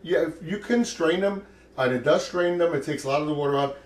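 A man's voice: a little high, a little fast, slight background noise. Chatty man demonstrating a recipe in his kitchen. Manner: chatty, encouraging, talks while working. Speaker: cooking show host. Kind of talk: casual cooking demonstration. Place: home kitchen, indoors.

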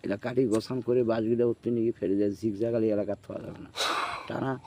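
A man's voice: on the low side, steady tone, fast talker. Muffled rural northern Bengali man telling stories. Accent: northern Bengali rural dialect. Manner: acts out quoted dialogue, checks the listener follows. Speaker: storyteller recounting own experience. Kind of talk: animated storytelling in casual chat. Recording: muffled, noisy field recording.

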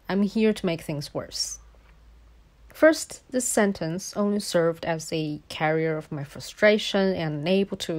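A woman whose first language is a Chinese dialect reads a personal essay as a voice-over. She explains each point in a measured way, at a middle pitch. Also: a little mellow. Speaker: guest speaker.

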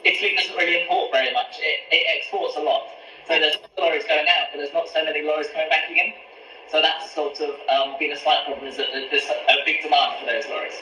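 A man's voice: on the high side, fairly even intonation, fast talker, pushed and bright, a little hiss or hum. A man, a pasta supplier explaining each cooking step as a guest on a live stream from his kitchen. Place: home kitchen. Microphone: camera a few feet away on a video call. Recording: echoey kitchen sound from the call.

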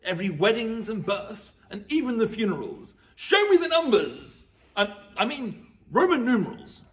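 A man's voice: sits high, very animated, moderate pace, a bit bright. A man voicing a boastful, greedy Roman emperor in a rhyming story, pompous and gloating, laughing through his brags. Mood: commanding.

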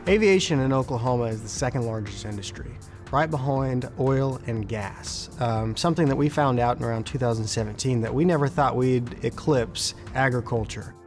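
A young man gives a promotional interview as an airport officer. His voice is mid-pitched, with faint music underneath. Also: moderate pace, a little mellow. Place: aircraft hangar.

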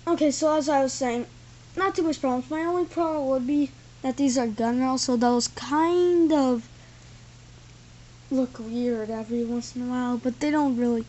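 A woman's voice: sits high, moderate pace, mellow, low-quality recording. A woman giving a casual, rambling toy review from an indoor room, filmed on a tripod camera. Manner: rambling, loose, full of "like" and "thing". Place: indoor room with carpeted floor. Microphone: camera on a tripod, built-in mic.

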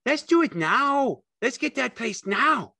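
A high, childlike, eager simpleton. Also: male voice, measured pace, slightly rough, dry recording, clean studio sound, heavily acted. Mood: pleading. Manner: pleading, excitable, simple drawl. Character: childlike, eager, simple-minded big man. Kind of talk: audiobook character acting.

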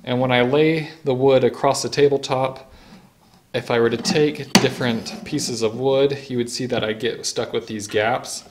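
A man's voice: mid-range voice, steady tone, normal speed, background noise. Casual man explaining a woodworking project. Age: 30s. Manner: conversational, explanatory. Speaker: woodworker and video host. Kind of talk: casual instructional vlog. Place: garage woodworking shop.

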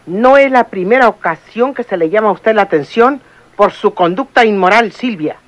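An actress playing a cold, formal head nurse in a vintage radio drama. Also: female voice, medium pitch, lively intonation, moderate pace, close to an everyday voice. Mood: stern. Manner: stern, formal.

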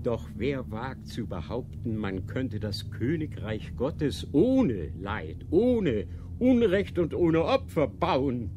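An actor playing a stern, dogmatic inquisitor in a radio drama, measured and didactic, posing rhetorical questions. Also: male voice, medium pitch, sing-song, highs cut off, background noise. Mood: stern, lecturing. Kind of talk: literary radio drama acting.

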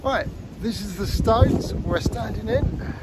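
A man's voice: high-pitched, slow. A man hosting an outdoor vlog, chatting casually and rambling as he walks through winter woodland. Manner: chatty, rambling, laughs often. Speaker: outdoor vlogger and channel host. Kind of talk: casual walk-and-talk vlog. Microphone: camera mic on a stand outdoors. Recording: wind noise on an outdoor mic.

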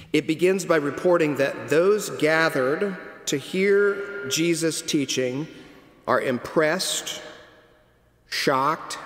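A middle-aged man preaching a sermon from a pulpit, telling the story slowly and deliberately with dramatic pauses. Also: on the high side, fairly bright tone. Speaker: cathedral dean preaching. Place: large stone cathedral, at a pulpit. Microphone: pulpit microphone. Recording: long cathedral reverb.

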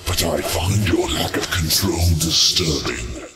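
An actor playing a dark, coldly judging alien templar in a video game, ominous and formal. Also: male voice, rumbling low, animated, takes it slowly, echoey room, exaggerated voice. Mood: cold menace.

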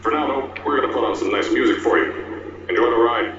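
An actor playing a brash middle-aged male company founder in a game, talking in a boastful, booming salesman pitch. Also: fast talker, distant mic, muffled recording, background noise, natural voice. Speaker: game character in a fan-made mod. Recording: roomy game audio with loud music.

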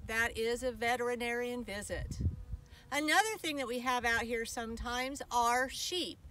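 An American woman gives informal tips outdoors in a high, bright voice. She is some way from the microphone. The delivery is that of someone explaining safety points to a camera. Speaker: aquatics staffer giving dog hiking tips. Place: outdoors in open desert by a trailhead and parking lot. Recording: far from the mic, faint outdoor noise.

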